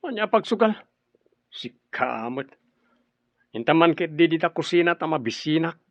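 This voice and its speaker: An actor playing a scheming, coaxing gambler in an audio drama. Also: male voice, on the high side, animated, normal speed, creaky, no room sound, highs cut off. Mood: defensive pleading.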